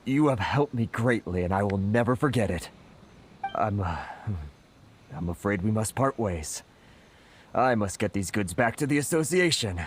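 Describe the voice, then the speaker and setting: A man voicing a timid, anxious merchant in a video game, hesitant and deferential, with a husky, breathy voice. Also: on the low side, expressive, normal speed, slight background noise, lightly acted. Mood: humbly grateful. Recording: faint game music behind.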